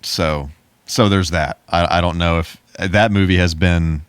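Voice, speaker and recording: A man co-hosting a podcast, talking informally about movies in a laid-back, halting way. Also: sits low, fairly even intonation, clean tone, no room sound. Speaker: podcast co-host. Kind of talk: casual, informal movie chat.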